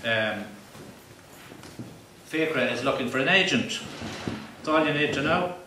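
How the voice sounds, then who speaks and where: Pompous deadpan man reading in a roomy venue. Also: medium pitch, unhurried. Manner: pompous, deadpan, mock-grandiose. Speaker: author reading from his novel. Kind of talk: live comic literary reading. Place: live event venue. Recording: roomy, distant live recording with faint noise.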